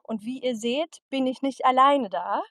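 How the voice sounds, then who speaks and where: A woman's voice: high voice, unhurried, a bit bright, dry recording, clean studio sound. A woman hosting and moderating a webinar, friendly and a bit hesitant. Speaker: webinar host.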